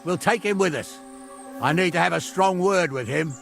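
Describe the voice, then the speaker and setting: Gravelly older medieval captain. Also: male voice, high voice, moderate pace, fairly bright tone, faint music behind. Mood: gruffly warm. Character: gruff older male medieval captain, hearty and begrudgingly kind. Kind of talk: video game drama acting.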